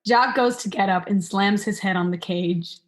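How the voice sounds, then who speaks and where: A woman's voice: mid-range voice, moderate pace. A young woman reading stage directions as the narrator of a scripted radio play. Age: young adult.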